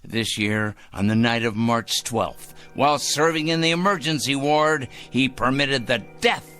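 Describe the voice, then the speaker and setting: एक अभिनेता एक घमंडी, खुद को धर्मी मानने वाले अधेड़ उम्र के सनकी आदमी की भूमिका निभा रहा है, जो पुराने टीवी नाटक की रिकॉर्डिंग में हल्के संगीत के साथ है।. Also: male voice, medium pitch, unhurried. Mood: sanctimonious. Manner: pompous, sanctimonious, lively. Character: self-righteous middle-aged male letter-writing crank. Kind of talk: 1960s TV drama acting. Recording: old TV soundtrack with faint music.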